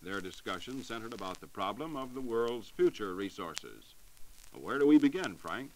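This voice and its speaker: Low-voiced American man hosting old-time radio. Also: sing-song, moderate pace, rough recording. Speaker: radio host. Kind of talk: scripted 1940s radio interview. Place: radio studio. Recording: hissy 1940s radio recording.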